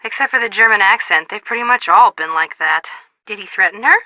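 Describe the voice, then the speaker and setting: Bright, bubbly young American woman. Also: mid-range voice, fairly quick, no echo, poor audio. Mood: wry. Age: young adult. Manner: gushing, dramatic, playful. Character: bubbly, boy-crazy young woman, fashion-loving best friend. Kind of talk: video game voice acting.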